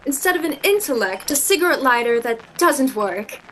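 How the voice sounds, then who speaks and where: A woman's voice: sits high, animated, moderate pace, fairly bright tone, a little hiss or hum. A woman playing a proud, scornful young shop employee in a vintage-style romantic comedy radio play, with a cutting, theatrical delivery. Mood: haughty, cutting.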